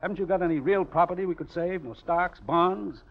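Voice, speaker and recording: An actor playing a cool, calculating gambler in a 1940s radio drama, smooth and commanding, with deliberate phrasing. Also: male voice, high-pitched. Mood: cool, probing. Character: cool, calculating male gambler offering a sinister deal. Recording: muffled old radio recording.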